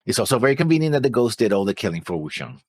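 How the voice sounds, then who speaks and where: A man's voice: mid-range voice, big pitch swings, rapid-fire, a little mellow, husky, dry recording. A man co-hosting a reaction show, thinking aloud in casual talk and often trailing off. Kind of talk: casual discussion.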